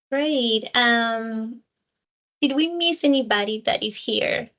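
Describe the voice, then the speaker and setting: A woman's voice: a little high, very slow, fairly bright tone. A woman facilitating an online council meeting over a video call, thanking and introducing members with many fillers.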